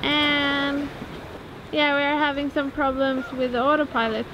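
A woman's voice: sits high, flat delivery, unhurried, lo-fi sound, noisy background. A woman with a Swedish accent chatting casually for a travel vlog. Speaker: sailing vlogger.

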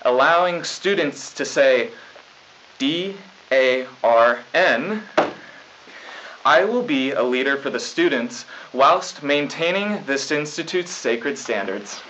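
A young man playing an earnest, self-important high school student president, reading stiff, formal mock news at a desk. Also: animated, takes it slowly, fairly bright tone, slight background noise. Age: young adult. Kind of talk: scripted mock 1990s school news broadcast. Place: small studio news desk. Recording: dull lo-fi 1990s videotape.

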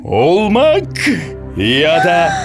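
A man's voice: fairly high pitch, huge pitch swings, drawn-out words, heavily acted. An actor playing a vain, pompous male stage star in a dubbed cartoon. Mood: dramatic. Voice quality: pompous, grandiose. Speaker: dub voice actor. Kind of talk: Turkish cartoon dub. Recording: cartoon soundtrack with music.

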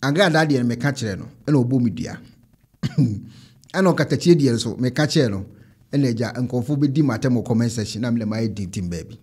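A man's voice: medium pitch, brisk, a little mellow, husky. A man in his thirties with a Ghanaian accent, hosting a casual reaction show and talking to camera. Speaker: online reaction show host. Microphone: close desk microphone.

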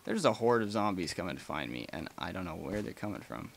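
Young man, gaming commentary, rambling, lots of ums, low-ish voice, noisy home mic with clicks. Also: big pitch swings, fast talker, creaky. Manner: rambling and chatty, many ums, gasps and laughs while playing. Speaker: gaming channel host. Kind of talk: casual let's play commentary.

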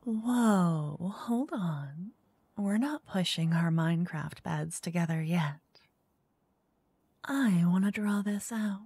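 A woman doing a soft-spoken ASMR roleplay as a flirty dungeon master. She teases, then whispers close into each ear in turn. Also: fairly low pitch, slow pace, slightly rough, no room sound. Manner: teasing, flirty, switching between whispers and soft speech. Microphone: close binaural mic.

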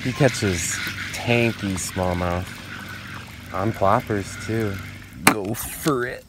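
A young man filming a casual fishing vlog outdoors by a pond, talking in a laid-back way with excited bursts when a fish bites. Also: fairly low pitch, very slow, very noisy. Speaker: fishing vlogger.

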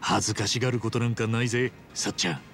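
An actor voicing a frank, big-hearted hero in a Japanese video game dub, speaking rough, emphatic casual masculine talk. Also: male voice, fairly low pitch, fairly even intonation, quick pace, fairly bright tone, soft background music. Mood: frank, reassuring.

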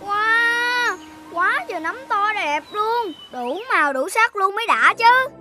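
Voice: very high-pitched, animated, takes it slowly, exaggerated voice. A performer voicing a greedy, boastful, chubby young animal in a children's cartoon, with a southern Vietnamese accent. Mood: greedy delight. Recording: music behind the voice.

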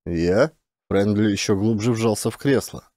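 A man's voice: on the low side, moderate pace, dark tone, no echo, studio quality. A man reading an audiobook as scripted dramatic narration.

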